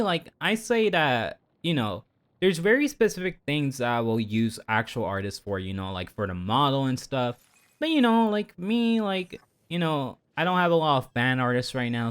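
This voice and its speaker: A man's voice: medium pitch, clean tone. A man livestreaming in a casual chat over a game, rambling through his opinions and often repeating himself. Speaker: VTuber livestreamer.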